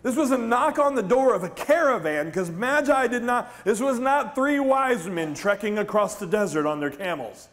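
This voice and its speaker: A middle-aged American man preaching a conversational sermon as a pastor, telling the story in an animated way and putting questions to his congregation. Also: very high-pitched, normal speed, hardly any pauses. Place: large church stage, indoors.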